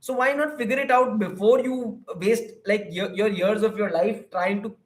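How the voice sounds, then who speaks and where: High-voiced Indian man giving advice. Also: normal speed. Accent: Indian English. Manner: earnest advice-giving, many fillers and restarts. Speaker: YouTuber and counselor. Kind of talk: livestream Q&A answering viewers.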